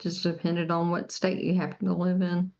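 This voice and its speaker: A woman's voice: on the low side, completely flat delivery, normal speed, a little mellow. A woman from the United States co-hosting a casual podcast discussion in a matter-of-fact way, on a slightly dull, lo-fi microphone. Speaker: podcast co-host.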